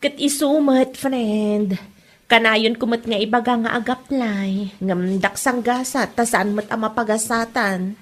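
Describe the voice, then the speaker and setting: An actress playing a sensible, blunt young salon worker and patient friend in an Ilocano comedy drama. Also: female voice, a little high, steady tone, measured pace. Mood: calming.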